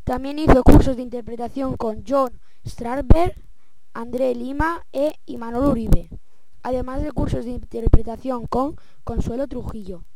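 A woman's voice: a little high, measured pace, very noisy. A woman reading out a guest's biography steadily, listing item after item. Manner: steady listing read-aloud. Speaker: school radio presenter.

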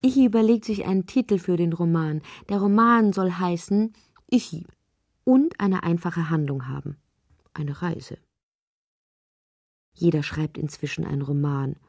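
A husky, mellow dreamlike storyteller. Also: female voice, on the low side, unhurried, long pauses, no echo, studio quality. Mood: musing, measured. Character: whimsical literary narrator of a dreamlike tale. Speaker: narrator. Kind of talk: literary radio play narration.

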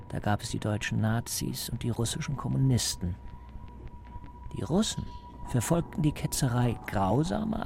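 Menacing, gravelly, animated interrogator. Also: male voice, medium pitch, slight background noise. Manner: didactic, deliberate, insistent. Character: menacing male party interrogator, coldly patient, lecturing. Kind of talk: German radio drama acting.

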